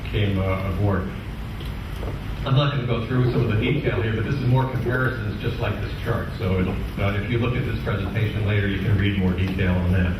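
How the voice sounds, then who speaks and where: A man giving an informal technical presentation at a club meeting, explaining in a halting way with rhetorical questions and asides. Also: mid-range voice. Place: indoor club meeting room. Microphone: distant microphone. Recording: very echoey room, distant mic, noisy.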